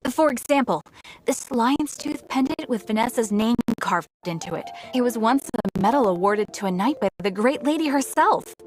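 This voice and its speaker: An actress playing an effusive, earnest young female merchant in a video game, with music clearly audible behind her. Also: fairly high pitch, rough recording. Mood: excited. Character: eager, gushing young woman merchant and devoted fan. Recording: clear background music under the voice.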